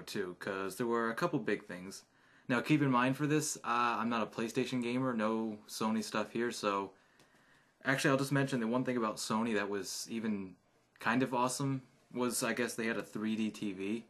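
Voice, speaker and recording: A man's voice: fairly low pitch, fairly bright tone. A man casually rambling his opinions on video games, chatty and offhand with many fillers. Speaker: gaming YouTuber.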